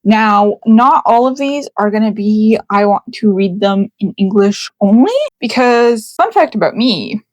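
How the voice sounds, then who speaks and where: A woman's voice: mid-range voice, animated, a little slow, hardly any pauses, no echo. A young woman from Canada whose first language is Russian, hosting a book channel in a chatty, self-deprecating way with many fillers and repeats. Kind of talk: casual chat to camera.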